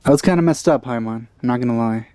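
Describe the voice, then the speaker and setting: A man's voice: medium pitch, quick pace, warm tone, clear, resonant tone, no room sound. A man giving casual let's-play commentary in wry, musing asides. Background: game music.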